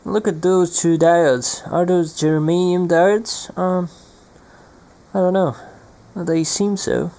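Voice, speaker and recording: A man's voice: sits high, fairly even intonation, slow, mellow. A young man presenting an unscripted hands-on electronics demonstration, speaking hesitantly and searching for his words.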